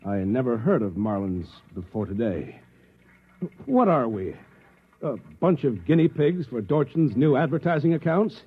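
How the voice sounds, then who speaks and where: An anxious, suspicious everyman in an old radio drama. His delivery swings widely in pitch, edgy and emphatic, with a slightly husky grain, all squeezed through a thin vintage recording. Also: very animated, normal speed. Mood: irritable, sarcastic. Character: anxious, suspicious office husband growing alarmed at reality. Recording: muffled, narrow-band vintage radio recording.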